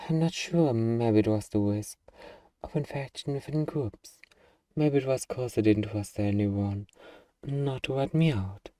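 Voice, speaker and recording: A man playing a gruff, defensive young zombie-apocalypse survivor with anxiety underneath, in an ASMR roleplay. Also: medium pitch, unhurried, dark tone, dry recording, recorded from a distance, natural voice.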